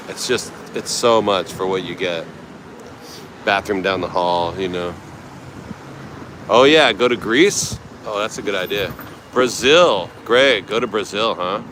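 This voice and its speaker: A middle-aged American man from California, rambling in a laid-back way as he livestreams and answers his viewers. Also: fairly low pitch. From United States, California. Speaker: travel livestreamer. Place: outdoors at a night market by the beach. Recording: noisy outdoor street recording.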